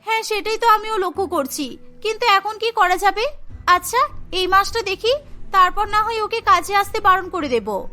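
Exasperated, very high-voiced housewife, voiced by a woman. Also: expressive, moderate pace, pushed and bright, exaggerated voice. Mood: annoyed. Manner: indignant, complaining. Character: exasperated housewife and employer, firm, complaining.

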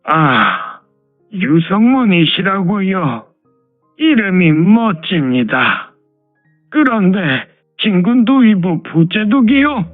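A dignified old elder of a martial demonic cult, acted by a man, speaks with old-fashioned authority in a high, unhurried voice. The sound is thin and phone-like, with music underneath, as in an audio drama. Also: sing-song, no room sound, exaggerated voice. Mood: curious, surprised. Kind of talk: Korean martial-arts audio drama acting.

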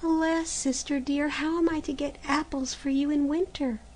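A woman voicing a gentle, mistreated young girl who speaks softly and pleads timidly. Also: high-pitched, steady tone, moderate pace, pitched above the performer's own voice, lightly acted. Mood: pleading.

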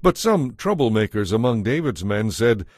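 A man narrating a dramatized Bible as scripted storytelling, in a solemn, measured manner. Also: on the low side, very animated, dry recording, clean studio sound.

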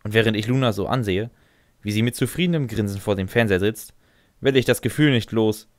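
A man's voice: fairly low pitch, brisk, dry recording, studio quality. A man reading scripted fan fiction as a first-person narrator.

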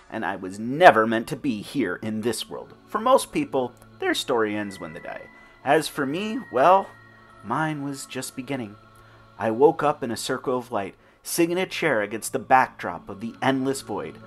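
A man's voice: mid-range voice, sing-song, normal speed, fairly bright tone, soft background music. A man giving a wry, theatrical first-person reading of his own fan fiction. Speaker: narrator.

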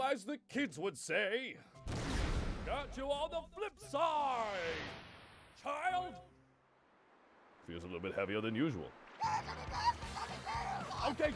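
A man's voice: sits high, heavily acted. A man voicing a boisterous, cocky muscular superhero in a comedic anime parody dub, with a hammy showman's delivery. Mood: jovial, dismissive. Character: muscular adult male superhero, boisterous, cocky, oddly self-conscious. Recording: background music under the voice.

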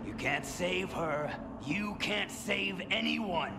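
An actor playing a bitter, menacing ex-soldier villain in a video game, speaking in a mocking, deliberate way. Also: male voice, sits high, expressive, creaky, noisy background, exaggerated voice. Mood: cold threat. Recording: loud music behind the voice.

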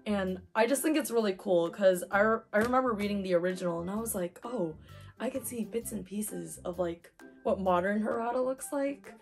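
A young woman with a hoarse voice from talking too much, chatting excitedly while unboxing manga. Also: medium pitch, brisk, faint music behind. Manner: chatty, excitable, lots of fillers. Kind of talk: casual unboxing chat.

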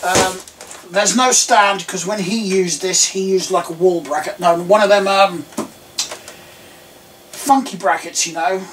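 An English man in his thirties with a croaky voice, chatting casually and rambling with lots of ums while showing computer parts at home. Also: high-pitched, unhurried, pauses often, recorded from a distance. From England. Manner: rambling, relaxed, frequent ums. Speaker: tech vlogger. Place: small home room indoors. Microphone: camera mic at a distance. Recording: faint room noise, voice a bit off-mic.

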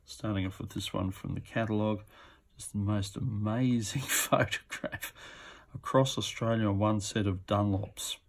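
A man's voice: lowish voice, fairly even intonation, normal speed, creaky. A man giving casual, off-the-cuff commentary as he presents auction items, musing to himself as he goes. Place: indoors at a table.

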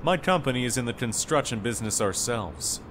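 A man's voice: medium pitch, animated, a little fast, a bit bright, lightly acted. A man playing a flustered, indignant factory owner with an emphatic, self-important manner in an audio drama. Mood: explaining. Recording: clear outdoor street noise behind.

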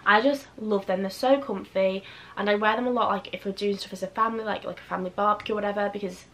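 A young woman, slightly croaky from a chest infection, chatting casually and rambling with lots of fillers as a fashion vlogger in her bedroom. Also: mid-range voice, fairly even intonation, quick pace, fairly bright tone, poor audio. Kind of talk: casual chat. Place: bedroom, indoors.

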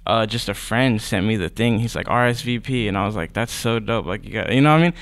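Young male guest, easygoing drawl, studio mic, unhurried chat. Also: on the low side, fairly bright tone. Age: young adult. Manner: laid-back, drawling, lots of fillers. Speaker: guest rapper. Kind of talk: casual interview chat. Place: podcast studio. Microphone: studio mic on boom arm.

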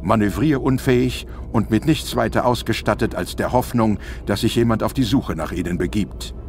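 A man narrates an audio drama in a low voice. His scripted narration runs over clearly audible music. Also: moderate pace.

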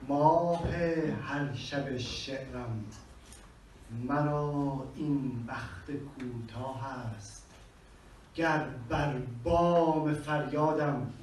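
A man with a Persian accent reading poetry slowly on a small stage, with measured, dramatic pauses. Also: medium pitch, a little hiss or hum. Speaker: poet. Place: small venue with a live audience. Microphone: handheld mic on a stand, recorded from far in the room. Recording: echoey room from far off.

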